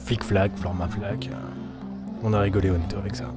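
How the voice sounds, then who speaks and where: A man's voice: fairly low pitch, level tone, a little fast, lightly acted. A man playing a laid-back, joking hiker with a casual, goofy manner in a comedy audio drama. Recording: clear music bed behind the voice.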